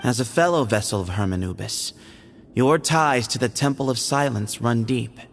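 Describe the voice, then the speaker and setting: Gravelly, solemn temple heir. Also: male voice, mid-range voice. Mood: firm, formal. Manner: formal, measured delivery. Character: solemn male temple heir guarding tradition, formal and pragmatic. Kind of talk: video game voice acting.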